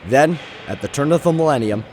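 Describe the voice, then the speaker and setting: Young guy in his 20s doing sports reporting, animated pitch, bit of noise behind him. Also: brisk. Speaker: sports reporter and play-by-play announcer. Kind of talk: sports broadcast narration and commentary.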